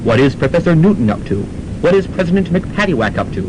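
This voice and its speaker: A man's voice: very animated, fast. A man playing the mock-dramatic narrator of a student radio serial. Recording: noisy, rumbling amateur radio recording.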